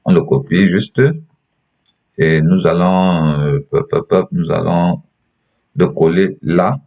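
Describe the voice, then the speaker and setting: A young Cameroonian man giving a step-by-step screen tutorial in a halting way, often repeating himself. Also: low voice, fairly even intonation, darkish tone, lo-fi sound. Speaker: tutorial presenter.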